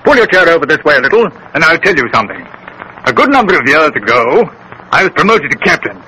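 Forceful, sharp-voiced colonel. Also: male voice, a little high, big pitch swings, fast talker, full character voice, low-quality recording. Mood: confiding. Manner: earnest, admonishing, clipped military address. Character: seasoned British colonel in India, cautionary, reminiscing. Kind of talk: 1930s radio acting. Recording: hissy 1930s radio recording.

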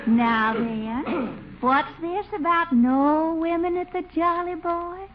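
A coquettish belle who coaxes sweetly to get her way. Her voice sits high and sugary. The old radio recording is dull, with a faint hiss. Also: slow. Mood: wheedling. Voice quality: sugary, coaxing. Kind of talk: 1940s radio acting.

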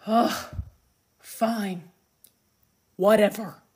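A woman playing a scornful young gym bully in an amateur audio drama, with drawn-out, threatening delivery. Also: medium pitch, steady tone, a little mellow, breathy edge. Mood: frustrated.

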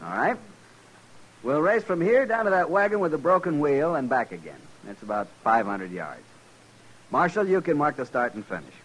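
Young cavalry officer, cocky and needling, very animated intonation, old radio western. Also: male voice, a little high, normal speed, rough recording. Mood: confident. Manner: mocking, needling. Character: cocky young army lieutenant, taunting and swaggering. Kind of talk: 1950s radio western acting. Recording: vintage 1950s radio recording.

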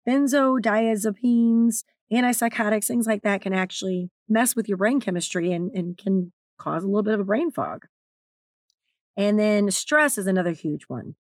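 Raspy-voiced woman podcaster. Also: medium pitch, dry recording, clean studio sound. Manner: candid, chatty, self-deprecating. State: strained voice. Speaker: podcast host and author. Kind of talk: solo podcast talk.

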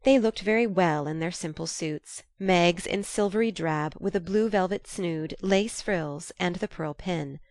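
A woman's voice: on the low side, measured pace, few pauses, a little mellow, no echo. A woman reading a classic novel aloud as scripted audiobook narration.

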